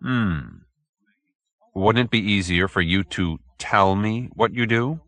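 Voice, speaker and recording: A man's voice: fairly low pitch, takes it slowly, a bit bright, no room sound. A man voicing a businesslike, skeptical private detective in an audiobook, blunt and probing with a dry manner.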